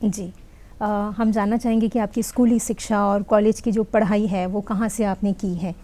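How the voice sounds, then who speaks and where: Mellow-voiced woman hosting a TV interview. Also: medium pitch, level tone, moderate pace. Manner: polite, formal, scripted introductions. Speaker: TV interview host. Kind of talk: formal broadcast hosting.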